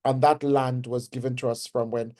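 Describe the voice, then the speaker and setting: A man's voice: medium pitch, flat delivery, normal speed, darkish tone. A man in his forties with a Trinidadian accent, giving a personal, conversational webinar lecture as a nursing professor. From Trinidad and Tobago. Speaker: guest speaker, nursing professor.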